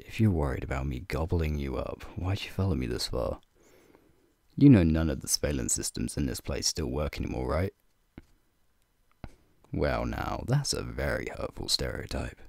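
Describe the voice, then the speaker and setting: A man playing a flirty, teasing werewolf guide in an ASMR roleplay, talking softly and playfully to the listener in a gravelly, breathy voice. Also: no room sound, studio quality, heavily acted. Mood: teasing, reassuring. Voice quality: deep, gravelly, breathy low voice. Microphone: close microphone.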